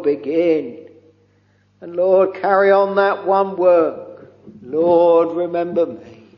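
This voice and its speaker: A man leading a slow, pleading extempore prayer from the pulpit, intoning in old-fashioned English with many interjections of "oh" and "Lord". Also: fairly high pitch. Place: church or chapel. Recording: dull lo-fi recording with long hall reverb.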